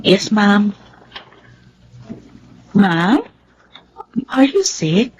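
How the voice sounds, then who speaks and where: A woman's voice: medium pitch, very animated, drawn-out words, a little mellow, breathy edge, dull audio, full character voice. A woman playing a caring, respectful female office assistant in a Filipino radio drama. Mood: concerned. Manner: respectful, solicitous.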